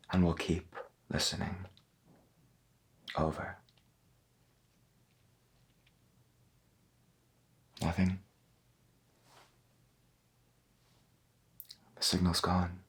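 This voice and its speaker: A man playing a gentle, wondering veteran 1990s AM radio host in a soft-spoken ASMR roleplay, husky and folksy, musing in a hesitant murmur. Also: low voice, flat delivery, slow pace, pauses often, heavily acted. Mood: hushed hope. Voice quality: husky, gravelly.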